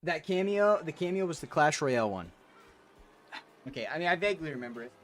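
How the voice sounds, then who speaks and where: A man's voice: a little high, animated, brisk, faint music behind. A man streaming a game, chatting with viewers in a profane, rambling, ranting way. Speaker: gaming streamer. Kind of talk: casual rambling stream chat.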